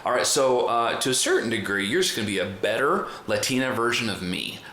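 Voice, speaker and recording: A man's voice: medium pitch, lively intonation, brisk, pushed and bright. A man hosting a conversational podcast interview, talking in a chatty, wordy way. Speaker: podcast host and trainer. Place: indoor room in the US. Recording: roomy echo, faint background noise.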